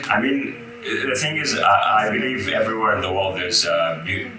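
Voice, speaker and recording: A young man from Iraq, a native Arabic speaker, chatting as a guest in a relaxed way with many fillers and restarts. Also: fairly low pitch, moderate pace. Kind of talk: casual interview chat. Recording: roomy echo, music behind. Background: guitar music.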